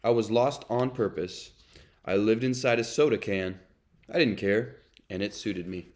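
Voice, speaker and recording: A man's voice: on the low side, unhurried, a little mellow, lightly acted. A man reading a children's storybook as a brooding, self-confessed bad sunflower seed, in a dramatic, confessional way. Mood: sullen. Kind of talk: children's read-aloud narration.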